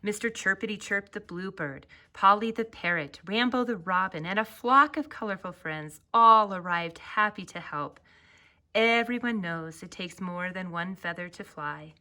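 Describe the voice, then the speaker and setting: A woman narrating a children's story as its author, reading expressively and deliberately. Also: mid-range voice. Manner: expressive, deliberate, drawn-out phrase endings.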